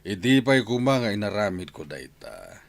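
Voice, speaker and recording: An actor playing a conciliatory village neighbour in a Filipino radio drama, speaking with deliberate hesitation and an Ilocano accent. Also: male voice, on the low side, very animated, gravelly, no echo. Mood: regretful. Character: slow-spoken male village neighbour who kept a lion, conciliatory.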